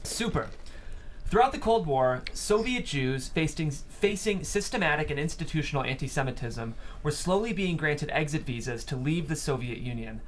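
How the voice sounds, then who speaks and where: A man with a fairly high voice reads screenplay stage directions aloud as host and narrator. It is a studio recording with faint room noise. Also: animated, normal speed.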